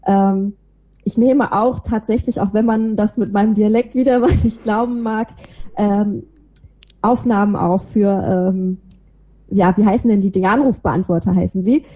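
A woman with a warm, mellow voice that is a little husky talks casually as an interview guest. She is chatty and self-deprecating as she tells about herself. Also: medium pitch, fairly even intonation, measured pace. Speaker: interview guest, podcaster and small creative agency owner. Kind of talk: casual chat, telling about own life and work.

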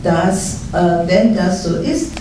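A middle-aged woman from Russia speaking with a Russian accent, a guest author at a panel talk who pauses often with fillers and restarts. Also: fairly low pitch, dark tone. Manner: halting, many fillers and restarts. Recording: echoey hall from far off, faint noise.

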